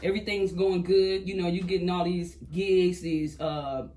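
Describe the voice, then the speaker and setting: High-voiced easygoing male host. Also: little pitch movement, normal speed, warm tone. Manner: encouraging, easygoing, heavy with fillers. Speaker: interview host. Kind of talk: casual interview talk.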